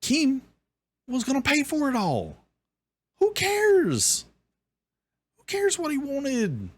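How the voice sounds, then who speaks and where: A man with a deep, bassy voice giving sarcastic, ranting commentary on another streamer's clip. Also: wildly swooping pitch, slow. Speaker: commentary host. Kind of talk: reaction commentary.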